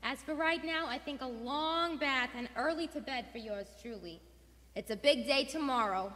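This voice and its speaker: Proper loyal wife, played by a woman, high voice, brisk, theatrical, roomy hall. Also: a bit bright. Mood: breezy. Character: genteel, loyal English wife, brisk and indignant. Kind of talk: stage radio play acting.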